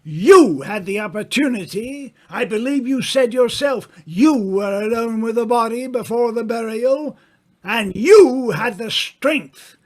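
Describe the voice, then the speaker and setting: Man playing smug, theatrical gentleman sleuth, high-voiced and sweeping. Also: lively intonation. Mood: confident, expository. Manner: theatrical, deliberate, drawn-out accusing delivery. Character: suave, smug gentleman sleuth unmasking murderers. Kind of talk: old-time radio mystery acting.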